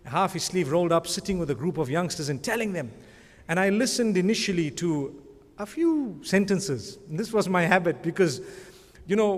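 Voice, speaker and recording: A man presenting an award, speaking in a rambling, conversational way and often adding religious blessings. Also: sits high. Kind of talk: speech at an awards ceremony. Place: large conference hall. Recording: long hall reverb.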